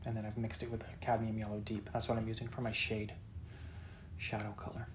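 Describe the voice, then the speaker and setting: A soft-spoken man in his thirties talks casually as he paints, stopping and restarting often. His voice sits low and barely moves in pitch, and a faint hiss lies under it. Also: completely flat delivery, quick pace. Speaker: painter streaming a live session. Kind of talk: casual chat while painting.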